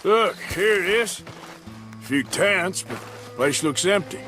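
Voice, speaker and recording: An actor playing a gruff, cynical outlaw in a Western video game, with an American Western drawl and a terse, dismissive manner. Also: male voice, sits high, lively intonation, slow, pushed and bright, faint music behind, full character voice. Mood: wary, curt. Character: gruff, cynical adult male American outlaw in the Old West. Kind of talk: dramatic video game acting.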